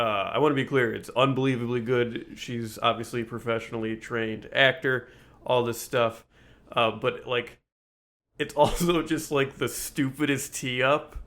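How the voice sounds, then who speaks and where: Male comedy podcaster, loose and halting, jokey, prone to laughing, swears easily. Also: mid-range voice, a bit bright. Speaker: podcast host. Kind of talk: casual comedic chat.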